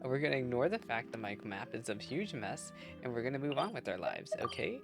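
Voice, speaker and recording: A woman's voice: very deep voice, big pitch swings, fairly quick. A woman giving casual reaction commentary as a gaming streamer, with wry, teasing asides. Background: game music.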